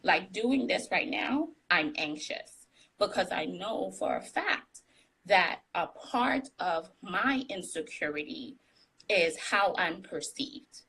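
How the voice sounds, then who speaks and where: A woman's voice: fairly low pitch, lively intonation, slow pace, vocal fry, a bit bright, breathy edge, low-quality recording. A woman guest speaking candidly in a casual interview, laughing often, with what she calls a tiny voice, on a live video call. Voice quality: describes her own voice as tiny. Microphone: phone on a live video call.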